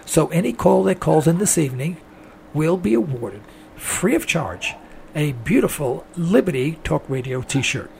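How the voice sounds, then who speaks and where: A man hosting a call-in radio talk show, speaking in a rambling, folksy monologue while hoarse from a throat infection. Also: fairly high pitch, sing-song, measured pace, a little mellow, slight background noise. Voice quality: strained, gravelly voice.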